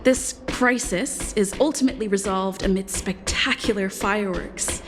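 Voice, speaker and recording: A woman's voice: mid-range voice, moderate pace, natural voice. A woman playing a grand, composed storyteller narrating a scripted video game story recap. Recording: long reverb, music behind.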